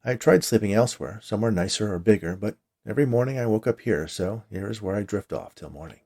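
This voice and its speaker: A man narrates a first-person short story in a low voice with plenty of creak. The delivery is scripted storytelling, read by the author himself. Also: expressive, fast talker, dark tone.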